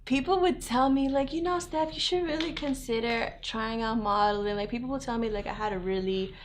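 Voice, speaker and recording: A woman's voice: on the high side, a little fast, a little hiss or hum. A young woman from New York who grew up in South Florida, a model being interviewed, talking casually and saying "like" often. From New York, raised in South Florida. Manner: chatty, peppers speech with "like", laughs easily. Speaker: guest model.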